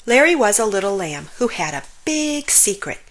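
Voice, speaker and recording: A woman reading a children's picture book aloud as an expressive storyteller, stretching words for emphasis. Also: mid-range voice, normal speed. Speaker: storybook reader.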